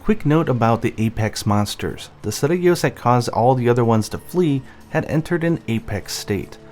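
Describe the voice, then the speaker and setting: A man narrates game lore as a guide to the story, explaining things plainly in a light voiceover. His pitch sits in an average male range, with music playing behind him. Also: expressive, normal speed, darkish tone. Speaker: video narrator giving story context.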